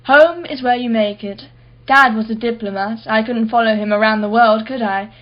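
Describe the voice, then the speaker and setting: An actress playing a wealthy, privileged art collector in a radio play, with an arch, articulate, sardonic manner. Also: female voice, a little high, fairly even intonation, moderate pace, lo-fi sound, natural voice. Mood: nostalgic, proud. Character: haughty, wealthy, privileged female art collector, witty and defensive.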